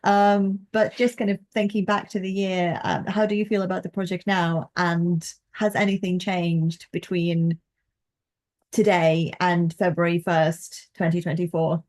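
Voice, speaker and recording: A woman's voice: fairly low pitch, flat delivery, unhurried, darkish tone. A young woman researcher in a reflective conversation, speaking articulately and thoughtfully with hesitant phrasing. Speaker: postdoctoral folklore researcher and co-host.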